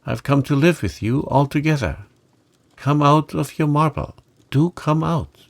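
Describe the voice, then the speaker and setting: A lonely, loving little boy from a storybook, played by a male narrator, pleads earnestly. The voice is lifted well above the reader's usual pitch and keeps a slow bedtime pace. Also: gravelly, no echo. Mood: pleading. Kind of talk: storybook character reading.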